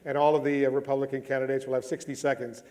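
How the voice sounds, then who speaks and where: A man moderating a live panel, brisk and joking in his asides. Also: medium pitch, little pitch movement. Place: large auditorium with live audience. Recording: loud audience crowd around.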